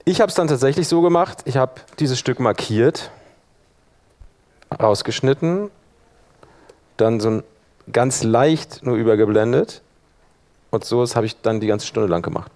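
A man's voice: medium pitch, animated, measured pace, long pauses, a little mellow. A man presenting an informal live tutorial, conversational and often checking in with his listeners. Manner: loose, conversational, addresses listeners with questions. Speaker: workshop presenter.

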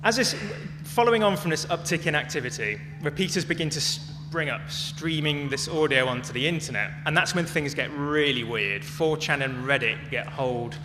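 Man doing a conference talk, slightly high voice, big reverby hall, music behind him, storyteller style. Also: normal speed, hardly any pauses. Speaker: conference speaker. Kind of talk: prepared public talk with storytelling. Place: large hall, talk to an audience.

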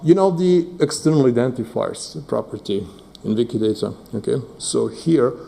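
A man walks an audience through a data presentation. His voice is gravelly and mellow. He hesitates often, fills pauses with "uh", restarts sentences, and keeps checking in with "right?" and "okay?". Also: mid-range voice, lively intonation. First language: Serbo-Croatian. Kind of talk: conference talk.

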